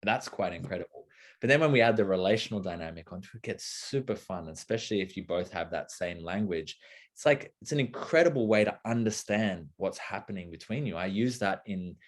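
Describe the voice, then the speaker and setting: A man's voice: deep voice, animated, a little fast. A young adult man talking casually and thoughtfully, rambling with lots of fillers and restarts. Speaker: co-host of a live talk. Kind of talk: casual conversational talk.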